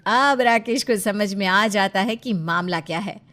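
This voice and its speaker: A woman's voice: mid-range voice, a little slow, fairly bright tone, faint music behind. A woman narrating a playful cartoon story in a conversational way, addressing listeners directly.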